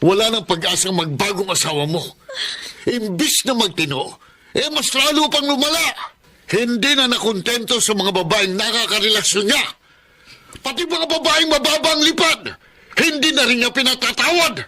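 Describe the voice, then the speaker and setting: Sharp, high-pitched scolding old father. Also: animated, moderate pace, full character voice. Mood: exasperated, scolding. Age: older. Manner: scolding, emphatic. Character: stern, exasperated older father, protective and scolding. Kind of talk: melodramatic radio drama acting.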